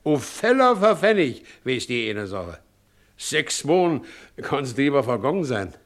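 An actor playing a good-natured, troubled ageing widower, a carter who talks in a plain, halting, brooding way in a drama. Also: male voice, medium pitch, sing-song. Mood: admiring, torn. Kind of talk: stage-style drama acting in colloquial dialogue.

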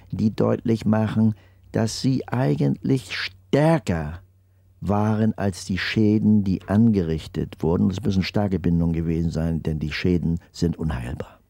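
An older man giving a slow, deliberate testimony in an interview, his phrases stressed and often trailing off into near-whispers. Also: fairly low pitch, creaky, mellow, dry recording, clean studio sound.

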